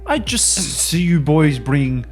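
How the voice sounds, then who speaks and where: A man's voice: on the high side, animated, takes it slowly, faint music behind, voice pushed up. A man voicing a kindly, polite young mother who lives next door, in a tabletop game. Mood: polite, concerned.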